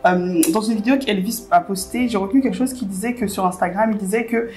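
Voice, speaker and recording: A woman's voice: medium pitch, quick pace, a little mellow, soft background music. A woman interviewer speaking with passion in a casual conversation, often filling with "en fait". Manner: impassioned, many "en fait" fillers.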